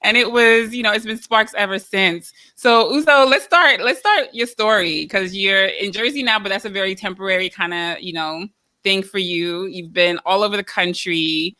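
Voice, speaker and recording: A woman's voice: medium pitch, moderate pace, bright, forceful tone. A woman hosting a podcast, asking easygoing questions to draw out her guest in a casual interview. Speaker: podcast host.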